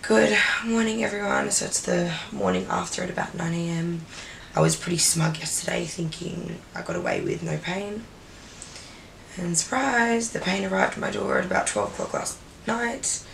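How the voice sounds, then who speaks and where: Young woman, croaky and sleepy post-op, gravelly quiet vlog delivery, faint room hiss. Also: on the low side, big pitch swings, normal speed, distant mic, poor audio. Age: young adult. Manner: drowsy and quiet, trailing off. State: croaky voice after surgery. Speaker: vlogger. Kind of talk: casual recovery vlog to camera. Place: bedroom. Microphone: handheld camera.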